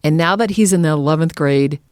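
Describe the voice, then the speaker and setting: Lower-pitched woman hosting, very dry sound. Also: a little fast, darkish tone, clear, resonant tone, dry recording. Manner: affirming, interjects short agreements. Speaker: podcast co-host reading listener questions. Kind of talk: conversational hosting.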